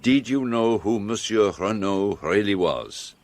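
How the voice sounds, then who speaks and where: An actor playing a shrewd, courteous detective with a French accent in a radio drama, speaking in a measured, theatrical way. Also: male voice, on the low side, animated. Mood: brisk, reassuring. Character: shrewd, composed Belgian male detective, wryly courteous.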